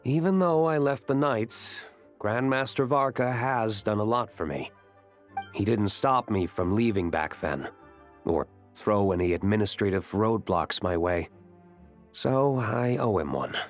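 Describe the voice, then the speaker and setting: Cool young nobleman, game role: fairly low voice, measured, reserved, soft music underneath. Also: animated. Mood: matter-of-fact. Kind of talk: anime game voice acting.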